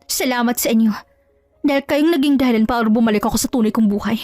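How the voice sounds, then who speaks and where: A woman's voice: on the high side, quick pace, a little mellow, dry recording, faint music behind, lightly acted. A woman voicing a proud, wronged young heroine in an audio drama, emotional and defiant. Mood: defiant, angry.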